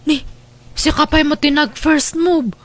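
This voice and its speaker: An outspoken young Ilocano woman acted out by a woman, very high in pitch. She is emotional and protective, in the manner of a radio drama. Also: steady tone, unhurried, fairly bright tone. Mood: everyday, concerned. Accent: Filipino, Ilocano.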